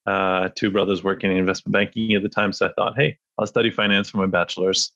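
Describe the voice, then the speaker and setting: A man's voice: low-pitched, flat delivery, fast talker, a bit bright, no room sound, lo-fi sound. A man from France and Spain, a podcast guest, answering thoughtfully with many fillers and restarts. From born in France, raised in Spain. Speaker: podcast guest, data analyst. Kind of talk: casual interview talk.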